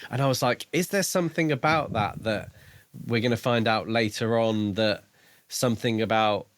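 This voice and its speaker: A man's voice: fairly low pitch, moderate pace, no echo. A man co-hosting a podcast, discussing a book casually in a questioning, mildly pedantic way. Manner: questioning, self-admittedly pedantic. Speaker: podcast co-host.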